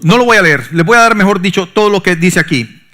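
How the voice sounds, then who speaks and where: A middle-aged pastor, preaching a sermon that swings from forceful emphasis to soft, low asides. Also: male voice, animated, fast. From Honduras. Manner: preaching with sudden soft drops and rising emphasis.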